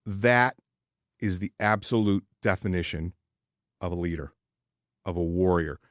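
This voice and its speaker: A man hosting a casual sports podcast, speaking emphatically with deliberate pauses. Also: sits low, no room sound, studio quality. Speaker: podcast host. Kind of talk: casual sports podcast talk and personal ad read.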